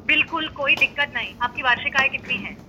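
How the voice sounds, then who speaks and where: High bright lady insurance agent on a muffled phone call, polite, double-checks everything. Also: background noise. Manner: polite, measured, confirms details. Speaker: insurance agent calling a customer. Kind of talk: scripted customer service call. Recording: lo-fi phone line.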